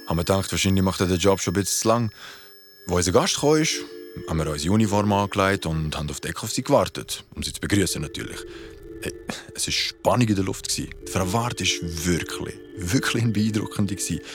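A man's voice: sits low, expressive, quick pace, music in the background. A young man with a Swiss German accent playing a holiday worker who narrates an eerie tale colloquially in an audio drama. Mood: intrigued. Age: young adult.

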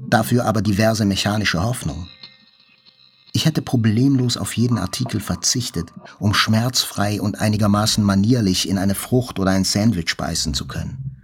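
A man's voice: lowish voice, slightly rough. An actor playing a wounded journalist, narrating his hospital stay soberly and introspectively in an audio drama. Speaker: first-person narrator. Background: faint music.

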